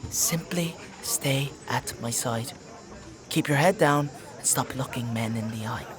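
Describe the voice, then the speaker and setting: An actor playing a wary, streetwise young companion in a dark fantasy audio drama, talking informally and nudgingly. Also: mid-range voice, measured pace, a crowd in the background, natural voice. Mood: hushed, coaxing.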